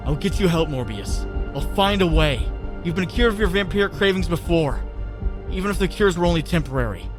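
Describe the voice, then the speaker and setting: A man's voice: on the high side, expressive, fairly quick, music in the background. A man voicing an earnest young superhero who also narrates, in a fan comic dub. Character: young male web-swinging hero, married. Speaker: narrator and lead voice actor.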